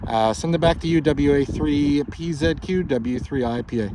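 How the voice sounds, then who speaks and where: A man working as an amateur radio operator, talking casually and technically in a halting way, with call signs and signal reports. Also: fairly high pitch, very noisy.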